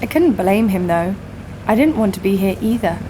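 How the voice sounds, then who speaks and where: A woman's voice: medium pitch, expressive, moderate pace, noisy background, natural voice. A woman playing a wry, adventurous young ship's guard who narrates her own story with a sardonic touch in a scripted audio drama. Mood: playful, sardonic.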